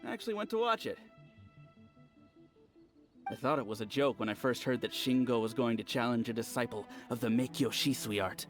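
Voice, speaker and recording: A man's voice: mid-range voice, fairly quick, pauses often, faint music behind, lightly acted. A man playing a chatty, easily impressed villager in a video game, telling things with relish. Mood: excited, gossipy. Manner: animated, storytelling.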